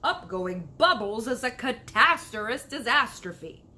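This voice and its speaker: A woman voicing a big male storybook giant, excitable, proud and indignant, with muddled grammar and emphatic drawn-out exclamations. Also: a little high, exaggerated voice.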